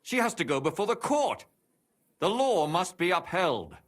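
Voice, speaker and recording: A principled, troubled man in a medieval video game. His voice is high and raspy, with very wide swings in pitch. He reasons and pleads with urgent earnestness. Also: very animated, normal speed, fairly bright tone, heavily acted. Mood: earnest pleading. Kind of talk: dramatic video game acting.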